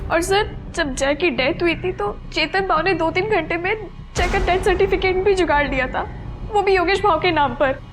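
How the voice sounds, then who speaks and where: A woman's voice: very high-pitched, moderate pace. An actress playing a distressed young woman in a TV crime serial, earnest and pleading as she explains. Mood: earnest, grave. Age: young adult. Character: distressed young woman reporting her boyfriend's murder. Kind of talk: Hindi TV crime serial acting. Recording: loud dramatic background music. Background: dramatic music.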